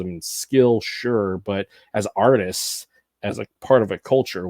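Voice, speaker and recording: A man's voice: lowish voice, a little mellow, no room sound, studio quality. A man hosting a casual podcast conversation, talking emphatically and with strong opinions. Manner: emphatic, opinionated, frequent backchannel murmurs. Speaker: podcast host.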